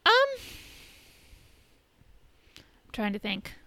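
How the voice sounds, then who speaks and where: A woman's voice: extremely slow, pushed and bright. A woman co-hosting a podcast in casual conversation, blunt and informal, with some swearing. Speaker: podcast co-host. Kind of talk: casual chat reviewing a TV episode.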